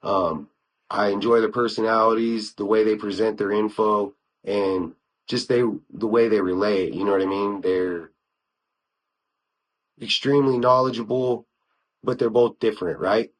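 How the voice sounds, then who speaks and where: Low-voiced American man, casual and slangy. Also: fairly even intonation, normal speed, rough recording. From United States (Wyoming). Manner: informal and slangy, with frequent conversational asides. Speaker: podcast host. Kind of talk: casual solo podcast talk, reviewing YouTube channels.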